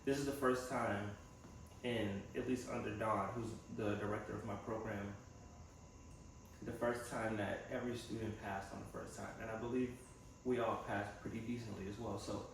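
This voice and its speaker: A young man thinks aloud in a casual, reflective vlog style. His voice sits at a medium pitch with plenty of gravelly fry. It is recorded some way from the mic in a strongly echoing room. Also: fairly even intonation, moderate pace. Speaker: vlogger and physical therapy assistant student. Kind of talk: casual personal vlog, chatting to camera. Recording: echoey room from a distance, faint noise.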